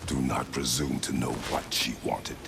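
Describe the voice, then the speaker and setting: A stern, brooding warrior god from a video game. His voice is extremely low and full of gravel. He speaks in terse, formal statements over loud game music. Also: flat delivery, normal speed. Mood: defensive, stern. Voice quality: deep gravelly rumble. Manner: terse, clipped, formal phrasing. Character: stern, brooding warrior god, a gruff middle-aged father and widower. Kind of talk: video game dramatic acting.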